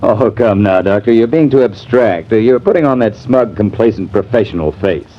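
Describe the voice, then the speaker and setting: Sardonic husband, 1950s radio drama, low voice, swooping intonation, theatrical and wry. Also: male voice, expressive, normal speed. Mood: wry, bitter. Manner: wry, mannered, theatrical delivery. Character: sardonic, disillusioned husband facing death. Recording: dull, hissy vintage radio recording.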